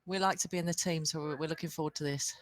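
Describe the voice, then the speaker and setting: A woman introduces her character in a cheerful, playful way. Her voice sits a little low. The sound is dry and close. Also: fairly even intonation, very fast, no echo. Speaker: player.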